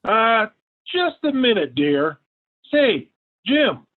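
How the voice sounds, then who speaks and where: Meek henpecked husband, old radio comedy, slow and hesitant, sounds like thru a phone. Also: male voice, sits high, sing-song, narrow, tinny audio, full character voice. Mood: hesitant, placating. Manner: hesitant, halting. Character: hesitant, henpecked middle-aged husband, mild but proud father. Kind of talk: 1940s radio sitcom acting. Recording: thin, band-limited old radio recording.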